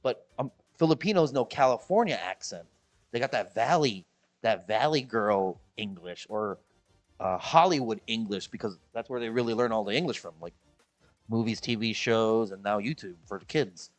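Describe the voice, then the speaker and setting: A man's voice: expressive, moderate pace, faint music behind. A man from Chicago with a Midwestern American accent, chatting casually and jokily to his stream viewers, rambling and repeating himself. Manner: rambling, jokey, repeats himself, mimics people in high voices. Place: the Philippines.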